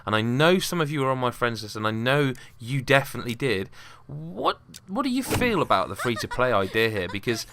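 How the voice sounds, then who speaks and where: A man's voice: mid-range voice, very animated, a little fast, vocal fry, few pauses, fairly bright tone, slight background noise. A man giving casual gameplay commentary, chatting and asking the viewers questions. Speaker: game reviewer. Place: in a house.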